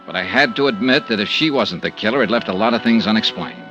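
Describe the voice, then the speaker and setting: A man's voice: lowish voice, animated, fast talker, muffled recording. An actor playing a wry, hard-boiled male insurance investigator who narrates and questions suspects in a 1950s radio drama. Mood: dry narration. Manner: wry, clipped detective narration. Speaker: lead narrator. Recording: old radio recording with music bed.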